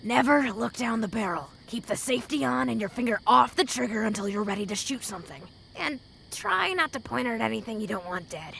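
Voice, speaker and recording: A woman playing a gruff, hot-tempered, battle-hardened wasteland mentor in an audio drama, with a gravelly voice and a blunt, sardonic, commanding manner. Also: a little high, expressive, brisk, a bit bright, slight background noise, rough recording. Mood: instructing.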